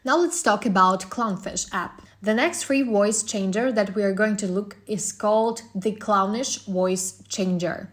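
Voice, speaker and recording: A woman's voice: mid-range voice, unhurried, hardly any pauses. A woman giving scripted tutorial narration, explaining methodically one step at a time.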